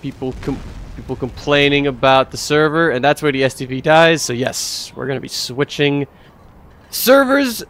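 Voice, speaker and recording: A man's voice: mid-range voice, moderate pace. Rambling male esports caster. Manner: relaxed, rambling play-by-play with many fillers. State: voice getting raspy. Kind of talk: live game commentary.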